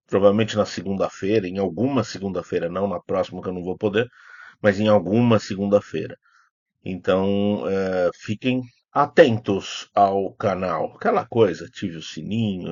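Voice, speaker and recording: A man with a fairly low voice and a Brazilian accent talks as if to his channel's followers, loosely and without a script. He wanders through his sentences, hesitating and correcting himself as he goes. The sound is somewhat dull and lo-fi. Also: darkish tone, no echo. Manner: rambling, hesitant, self-correcting. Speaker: YouTube host, film critic. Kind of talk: casual unscripted vlog talk, answering comments.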